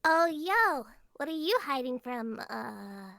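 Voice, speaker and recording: Woman as high-pitched exasperated teen grumbler. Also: very animated, takes it slowly, creaky, sharp tone, no echo, clean studio sound, full character voice. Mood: grumbling. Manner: blunt, grumbling. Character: exasperated, slangy teenager stuck in wrong body. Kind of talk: anime comic dub.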